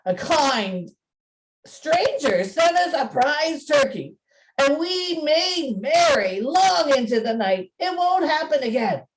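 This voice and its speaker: A woman's voice: sits high, very animated, takes it slowly, pitched above the performer's own voice, exaggerated voice, rough recording. Woman as a meek, apologetic office clerk. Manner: deferential, apologetic. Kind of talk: amateur radio play acting.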